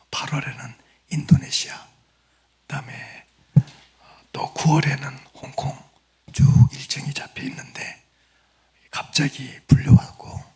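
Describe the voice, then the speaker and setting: A male missionary preacher who has lost his voice to a throat cold, giving an earnest sermon in a hoarse whisper and clearing his throat now and then. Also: on the high side, a bit bright. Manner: apologetic, earnest, plain storytelling.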